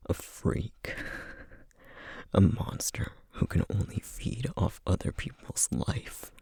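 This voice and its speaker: A man plays a guilt-ridden, menacing creature of the night in an audio roleplay. His voice is a very breathy, husky half-whisper, close and dry. He speaks slowly and tersely, with bitter, brooding weight. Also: low voice, a little mellow, dry recording, exaggerated voice. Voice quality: breathy, gravelly near-whisper. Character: brooding, guilt-ridden male essence eater, a vampire-like monster. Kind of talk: ASMR roleplay audio drama acting.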